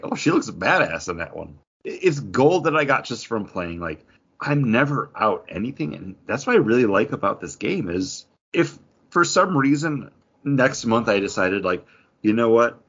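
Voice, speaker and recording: Male podcast host, low husky voice, enthusiastic casual chat, dull recording. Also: fairly bright tone. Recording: dull lo-fi audio.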